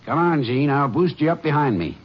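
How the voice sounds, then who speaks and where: An actor in a 1950s radio drama playing a folksy small-town sheriff who talks in a plain drawl. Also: male voice, on the high side, animated, fairly quick, natural voice. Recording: lo-fi old radio recording with hiss.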